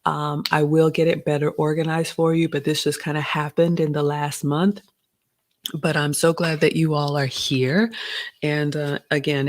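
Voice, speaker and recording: A woman in her forties, originally from Ghana, chatting casually as host of a live Q&A and reading out viewer comments. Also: low-pitched. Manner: chatty, reads viewer comments aloud, uses "y'all" and "you all".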